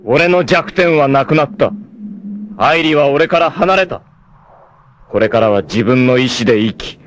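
A man voicing a proud, fierce young male martial artist in a 1980s anime, who moves from measured calm to raging shouts. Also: a little high, a little hiss or hum. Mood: cold, defiant. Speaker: anime voice actor. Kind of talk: 1980s anime dub acting.

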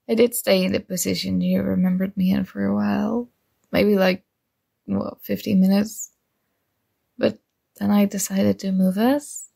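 Woman acting shy, creaky-voiced flustered student girl. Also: mid-range voice, a little mellow. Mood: sheepish. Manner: hesitant, awkward, halting. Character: shy, nerdy young female student, flustered by her crush. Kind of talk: ASMR romantic roleplay.

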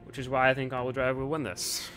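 A man's voice: mid-range voice, completely flat delivery, fast talker, fairly bright tone. A young man commenting casually on a racing game as channel host, often breaking into frustrated outbursts and swearing. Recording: game audio and music under voice. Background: car engine sounds and music.

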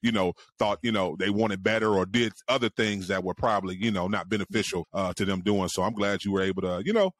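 A man in his forties hosting a radio interview in a studio, friendly and animated as he asks questions. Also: sits low, fast talker, few pauses, dry recording. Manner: animated, friendly, rising when asking. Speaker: radio show host. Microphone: studio mic with headphones.

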